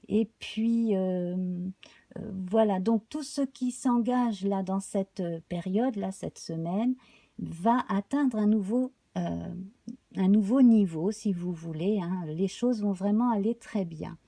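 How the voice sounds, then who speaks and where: A woman reads tarot cards for a live audience, her voice slightly hoarse. She speaks slowly and haltingly, filling pauses with small sounds, in a warm, mellow tone. The recording is dry and close. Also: medium pitch, no echo. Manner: slow, halting, many fillers. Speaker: tarot reader. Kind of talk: live tarot card reading.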